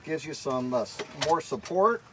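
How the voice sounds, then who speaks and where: A man's voice: a little high, expressive, normal speed, very noisy. Easygoing man vlogging at camp. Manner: easygoing, explains as he goes. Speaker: overlanding vlogger. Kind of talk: casual camp vlog. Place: outdoor mountain campsite.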